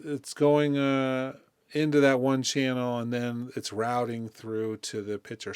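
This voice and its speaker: Male tutorial presenter, casual and informal, thinking aloud, crisp tone, close dry studio sound. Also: medium pitch, no echo. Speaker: music production tutorial presenter. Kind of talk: casual software demonstration.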